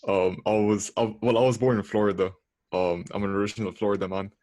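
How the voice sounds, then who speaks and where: Young man, low flat voice, hesitant casual talk, close dry recording. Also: quick pace, no room sound. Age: late teens to early 20s. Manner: hesitant, searching for words. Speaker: medical student panellist. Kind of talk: casual chat.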